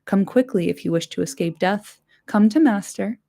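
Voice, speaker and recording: A woman voicing an anxious, gentle young male hobbit, pleading softly and urgently. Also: medium pitch, moderate pace, gravelly.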